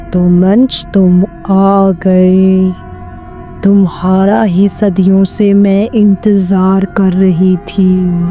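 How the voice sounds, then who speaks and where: Woman voicing a slow menacing witch ghost. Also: medium pitch, dark tone.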